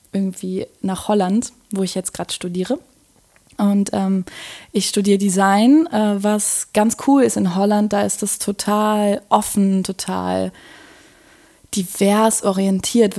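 A woman's voice: mid-range voice, gravelly, warm tone. A woman in her twenties from Berlin with a Berlin accent, a podcast guest talking eagerly with lots of "ähm" fillers and easy laughter. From Germany. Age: 20s. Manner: enthusiastic, laughs often, many fillers. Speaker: podcast guest, design student. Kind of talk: casual chat.

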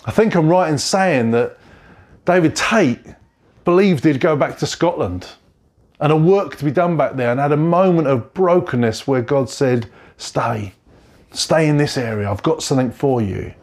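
A man's voice: fairly high pitch, a little mellow. Reflective pastor, in his forties. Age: 40s. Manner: reflective, halting, self-correcting. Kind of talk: personal testimony sermon.

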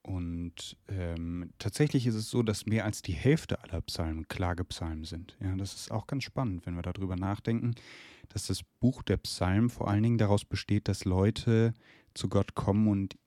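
Deep-voiced man with a cold. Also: normal speed, no echo, clean studio sound. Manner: steady, guiding the conversation. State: has a cold. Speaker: podcast co-host. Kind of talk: casual theological podcast chat. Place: room in his parents' home.

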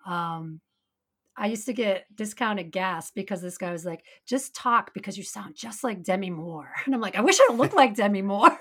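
A middle-aged woman with a naturally raspy voice, co-hosting a podcast in casual chat, laughing often and talking in quick asides. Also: medium pitch, lively intonation, fairly bright tone. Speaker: podcast co-host.

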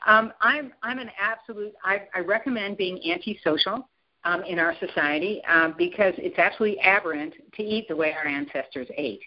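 Emphatic woman on a lo-fi line. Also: a little fast. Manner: emphatic, enthusiastic, pitch jumps up for emphasis. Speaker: guest. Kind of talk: animated interview talk.

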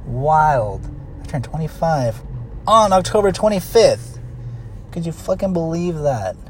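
A man's voice: fairly high pitch, big pitch swings, unhurried. Young guy in his twenties, casual driving vlog, profane and rambling, road noise behind him. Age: 20s. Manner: profane, rambling, frequent fillers. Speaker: vlogger. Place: in a car driving.

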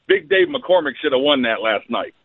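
A man's voice: medium pitch, expressive, normal speed, breathy edge, dry recording. Male sports caller on a thin phone line. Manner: slangy, enthusiastic. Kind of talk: casual fan chat. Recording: muffled, narrow phone line.

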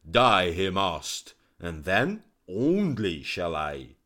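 A man's voice: low-pitched, takes it slowly. A man voicing a wrathful, suspicious king from an old tale, declaiming in archaic speech. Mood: insistent.

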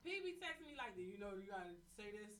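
A woman hosting a casual radio chat, animated and laughing, repeating "listen" to hold attention. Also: mid-range voice, fast talker, distant mic, a little hiss or hum. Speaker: radio host.

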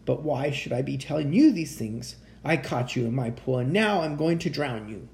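A man's voice: mid-range voice, expressive, moderate pace, gravelly, darkish tone. A man voicing a huge, self-pitying old rhinoceros in a children's storybook, with dramatic, emphatic delivery. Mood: self-pitying lament.

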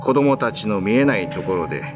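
A man narrating a scripted ghost story for a radio drama in a deliberate, theatrical way. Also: lowish voice, animated, over loud music.

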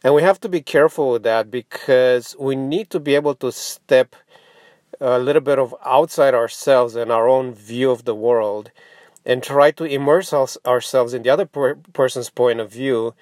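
A man's voice: medium pitch, a little mellow, dry recording, studio quality. Hesitant, reflective man, native Romanian speaker. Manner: halting, reflective, with frequent pauses and throat clearing. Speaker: podcast host. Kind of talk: informal explanatory monologue.

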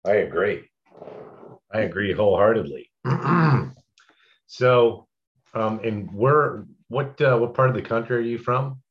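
A man hosting a casual interview with informal, halting phrasing, on a dull lo-fi recording. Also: fairly low pitch, animated. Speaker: interviewer, host. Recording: dull, lo-fi audio.

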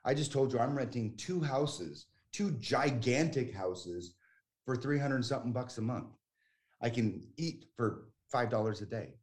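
A man's voice: mid-range voice, lively intonation, a little slow, a little mellow. A man in his forties from the United States, talking as a guest in a casual conversation, chatty and emphatic with frequent restarts. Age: 40s.

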